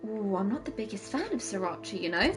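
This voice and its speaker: A woman's voice: medium pitch, lively intonation, quick pace, music in the background. A woman chatting casually while she plays a game on stream, explaining things at length.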